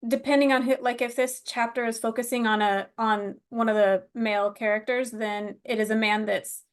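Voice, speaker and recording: A woman's voice: on the high side, steady tone, normal speed, clear, resonant tone. A woman co-hosting a podcast, chatting casually and joking lightly. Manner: laughs along, jokes lightly.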